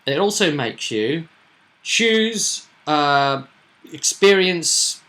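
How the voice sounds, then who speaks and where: A man's voice: on the high side, lively intonation, drawn-out words, bright, forceful tone. A man running a tabletop roleplaying game, explaining things in a reflective, conversational way. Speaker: game master.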